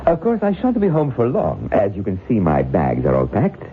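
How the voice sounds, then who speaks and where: An actor in an old-time radio drama playing a suave, sinister gentleman with a courtly, effusive, theatrical manner. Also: lowish voice, wildly swooping pitch, normal speed. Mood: suavely delighted. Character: suave, genial sinister man receiving a lady visitor. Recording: muffled old radio recording, faint noise.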